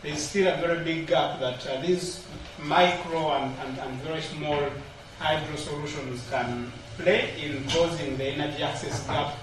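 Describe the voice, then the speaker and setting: A man's voice: on the high side, steady tone, normal speed. A man giving unscripted remarks as a conference panelist, hesitant and repeating words as he goes. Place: conference event room. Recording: very echoey hall, distant mic, noisy.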